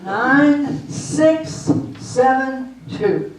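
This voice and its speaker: A woman playing a capable, patient wife in a comic readers theater, deliberate and no-nonsense. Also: sits high, expressive, exaggerated voice. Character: Janet, patient, brisk, capable wife of befuddled husband. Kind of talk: readers theater comedy acting. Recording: echoey hall, far from mic.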